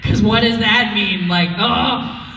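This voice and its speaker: A woman on a convention panel, excitable and mock-argumentative, telling comic stories with swearing, recorded in an echoey hall from far off. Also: medium pitch, slow, a little hiss or hum. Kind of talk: casual comic storytelling and banter. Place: large convention panel room.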